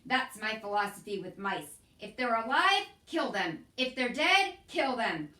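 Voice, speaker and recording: A woman voicing a loud, bossy older kitchen cook who shouts in rough country speech. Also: a little high, very animated, a little slow, roomy sound, heavily acted. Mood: gruff.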